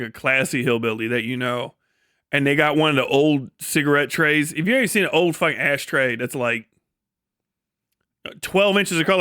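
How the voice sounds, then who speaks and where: High-pitched, foul-mouthed man rambling on a livestream. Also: no echo, studio quality. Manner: profane, folksy slangy phrasing; animated storytelling with exaggerated descriptions. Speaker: live streamer. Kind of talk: casual comedic rambling to a live chat.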